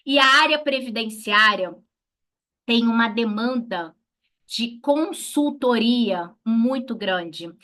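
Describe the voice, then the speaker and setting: Brazilian woman, lawyer-instructor. Higher-pitched, bright, forceful delivery; didactic, question-and-answer teaching style. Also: slow pace. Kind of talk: instructional talk to colleagues.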